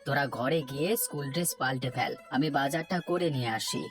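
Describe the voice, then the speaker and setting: A man's voice: fairly high pitch, fast talker, close to an everyday voice. A man acting a worried village father over music. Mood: everyday, brisk. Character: worried poor village husband and father, dutiful. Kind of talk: cartoon story dialogue. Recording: music and crowd bed behind voice.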